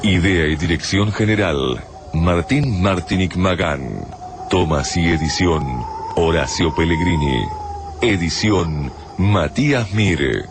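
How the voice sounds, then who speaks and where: A man with an Argentine accent and a gravelly voice, reading scripted credits and promos as a radio drama announcer. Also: sits low, takes it slowly, music in the background.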